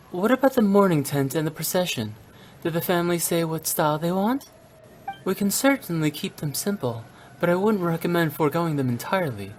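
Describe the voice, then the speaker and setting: A woman playing a composed young funeral director in a video game, speaking in a measured, precise and courteous professional manner. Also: low-pitched, darkish tone, a little hiss or hum. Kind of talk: English video game voice acting.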